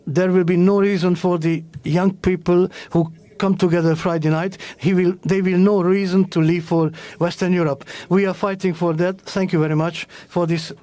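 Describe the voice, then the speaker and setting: A man giving a formal political speech to an audience at an indoor event, halting and often correcting himself mid-sentence. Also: high-pitched, level tone, dark tone, no room sound. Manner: halting, with frequent restarts and self-corrections. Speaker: political speaker at a party rally.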